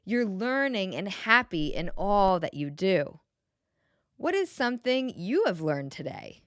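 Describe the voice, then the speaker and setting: A woman reading a children's picture book aloud in a gentle, expressive way, pausing to put questions to the young listener. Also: medium pitch, dry recording, studio quality. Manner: gentle, expressive, clearly enunciated storybook delivery.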